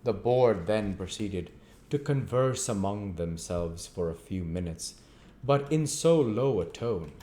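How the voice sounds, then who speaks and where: A man narrates a storybook in a very low, dark voice. His reading is deliberate, moving phrase by phrase. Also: animated. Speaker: audiobook reader.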